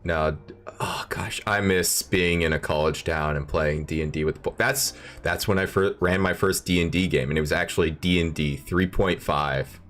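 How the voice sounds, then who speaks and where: A man's voice: low voice, steady tone, moderate pace, fairly bright tone, faint music behind. A man hosting a casual chat show, talking in a laid-back, rambling, self-deprecating way. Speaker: podcast host.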